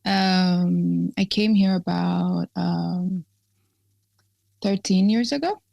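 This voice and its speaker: A woman from Ethiopia, guest on an interview, speaking casually with many pauses, ums and restarts. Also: on the low side, creaky, dark tone, no echo. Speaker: activist guest.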